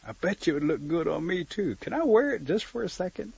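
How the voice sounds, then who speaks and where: A man voicing a vain, sly male turkey in a folk tale, with a coaxing, folksy, husky delivery. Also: high voice, big pitch swings, fast, darkish tone, voice pushed up, heavily acted. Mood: admiring, wheedling. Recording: dull, muffled lo-fi recording.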